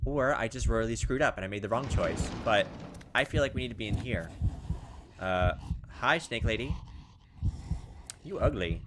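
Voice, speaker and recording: A man's voice: medium pitch, lively intonation, moderate pace, pauses often, noisy background. A man giving casual playthrough commentary on a game, thinking aloud and reading on-screen text as he goes. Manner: thinking aloud, talking to himself. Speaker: gaming video host. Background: game music.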